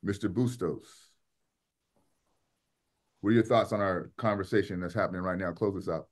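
A man's voice: low-pitched, steady tone, normal speed. A man leading a class discussion over a video call, chatting in a loose way and pressing students with pointed questions. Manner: conversational, repeats words and asks pointed questions. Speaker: lecturer.

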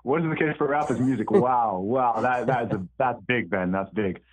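A man's voice: medium pitch, normal speed. A man with a mixed British and American accent, answering interview questions in a laid-back, hesitant way, with lots of ums and repeated words. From born in the UK, raised in Saudi Arabia, Nigerian parents. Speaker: guest, rapper. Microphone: remote call line. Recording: tinny phone-like line.

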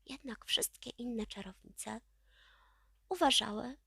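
A woman narrating a children's audiobook in an expressive storytelling style. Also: fairly high pitch, takes it slowly, gravelly, breathy edge, no room sound, studio quality.

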